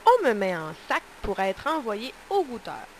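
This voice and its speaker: A woman's voice: fairly high pitch, wildly swooping pitch, moderate pace, a little hiss or hum. A woman voicing a chatty coffee bean. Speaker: narrator. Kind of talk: amateur storybook voice acting.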